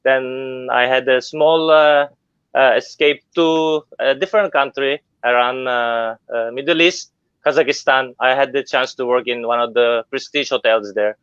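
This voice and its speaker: Hesitant Turkish-born man, smooth voice. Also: medium pitch, fairly even intonation, a little slow, a bit bright, no room sound. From Turkey. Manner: hesitant, many uh fillers and restarts. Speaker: guest business owner. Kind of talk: online interview. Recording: dull lo-fi sound.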